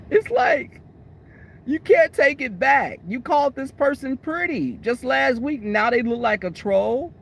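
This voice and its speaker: A woman vlogging in a car, talking in a blunt, sassy, opinionated way with a voice that is going hoarse. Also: high-pitched, sing-song, takes it slowly, pauses often. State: losing her voice. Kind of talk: casual chat. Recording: noisy car interior.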